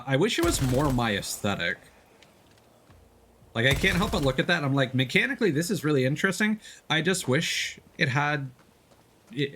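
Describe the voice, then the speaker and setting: A man's voice: mid-range voice, fairly bright tone, slight background noise. A man giving casual, playful live game commentary and chatting with viewers, swearing freely. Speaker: live streamer, gamer.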